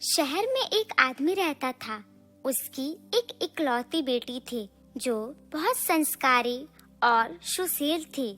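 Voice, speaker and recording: A woman's voice: high-pitched, lively intonation, slow pace, a bit bright, faint music behind. A woman narrating a scripted moral story.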